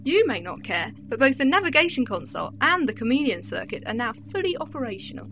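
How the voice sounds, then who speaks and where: A woman's voice: a little high, big pitch swings, fast, a little hiss or hum. An actress playing a clever, sarcastic Time Lady companion in an amateur audio drama. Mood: exasperated. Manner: sharp, sarcastic, exasperated.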